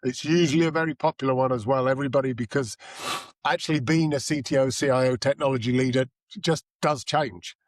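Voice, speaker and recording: A hoarse man with a cold co-hosting a tech podcast, chatting in a rambling, self-deprecating way. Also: mid-range voice, fairly even intonation, brisk, dry recording. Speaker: podcast co-host. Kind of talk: casual podcast chat.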